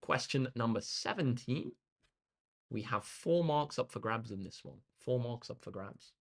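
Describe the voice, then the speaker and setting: Slightly hoarse young male maths tutor. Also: mid-range voice, animated, unhurried. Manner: upbeat, chatty, reads out chat comments. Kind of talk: live-streamed exam walkthrough.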